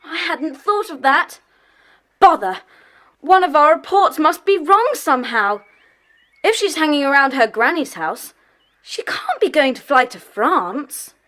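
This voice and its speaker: A woman's voice: high-pitched, animated, unhurried, fairly bright tone. A woman playing an eager schoolchild in a children's mystery audio drama, keen and chatty. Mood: puzzled.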